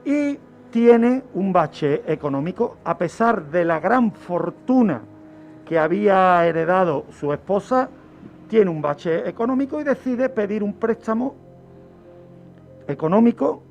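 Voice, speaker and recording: A man tells true-crime history on radio in a didactic way, with dramatic pauses and rhetorical questions. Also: high-pitched, expressive, darkish tone. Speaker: criminologist, radio segment contributor. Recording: dull lo-fi radio recording with background music.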